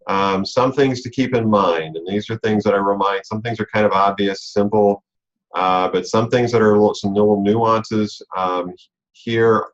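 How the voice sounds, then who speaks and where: An American man explaining rules informally and step by step, as a coach leading a conference call. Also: fairly low pitch. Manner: conversational, explanatory, talks through rules step by step. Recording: dull, lo-fi conference call audio.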